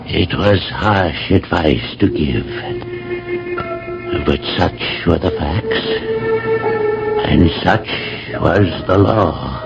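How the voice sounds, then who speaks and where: An actor playing a grave, authoritative counselor of an ancient Greek city, speaking solemnly and theatrically in a radio drama. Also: male voice, sits low, wildly swooping pitch, extremely slow, gravelly, long pauses, heavily acted. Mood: somber, reflective. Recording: dull old radio broadcast with music.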